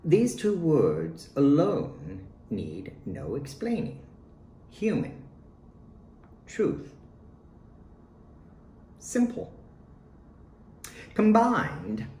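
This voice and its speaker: Slow, expressive man reading poetry, roomy sound. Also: on the high side. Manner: deliberate, short declarative phrases with pauses. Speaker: poet reading own work. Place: at home in a residence. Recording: roomy home recording with faint noise.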